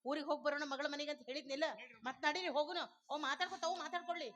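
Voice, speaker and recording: A woman playing a sharp-tongued village mother. She rattles along fast in a high, bright voice and orders everyone about. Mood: brisk. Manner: brisk and bossy.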